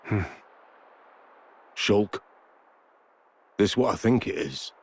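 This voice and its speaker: A British actor playing a gruff, seasoned, laconic older adventurer in a video game cutscene. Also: male voice, lowish voice, lively intonation, exaggerated voice. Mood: measured, dry. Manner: laconic, measured.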